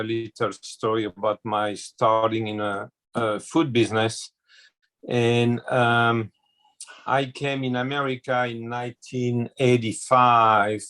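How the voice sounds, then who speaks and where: A man in his sixties from France speaks English with a clear French accent, unhurried and careful. His voice stays level with little rise and fall as he tells his life story in an interview. He pauses often and fills the gaps with small hesitations. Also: mid-range voice, fairly bright tone. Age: 60s. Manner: slow, hesitant, full of fillers. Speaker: chef.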